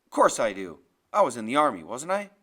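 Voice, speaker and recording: A man's voice: mid-range voice, sing-song, fast, sharp tone, pitched below the performer's own voice. A man voicing a protective old army veteran in an audiobook, speaking in firm, grim declarations. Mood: indignant. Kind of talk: audiobook character voice.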